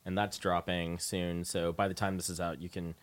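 A man hosting a radio show, chatting casually and informally with a guest in loose, halting phrases. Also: deep voice, little pitch movement. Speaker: radio show and podcast host, interviewing. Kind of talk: casual conversational interview.

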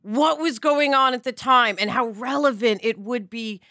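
A woman's voice: on the high side, normal speed, sharp tone, no echo, studio quality. An American woman hosting a casual discussion, speaking emphatically and putting questions to her guests. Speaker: podcast host.

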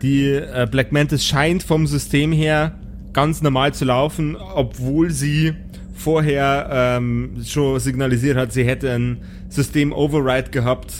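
A man's voice: medium pitch, steady tone, unhurried, slight background noise. A man running an improvised tabletop role-play as game master, narrating casually.

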